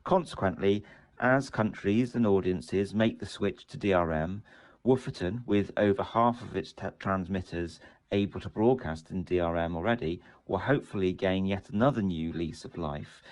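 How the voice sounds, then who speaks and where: Business guy with a really low voice reading a prepared statement. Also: moderate pace, darkish tone. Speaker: commercial director.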